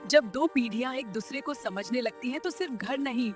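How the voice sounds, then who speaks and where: A woman's voice: high voice, normal speed, faint music behind. A woman narrator reading a scripted story for a cartoon.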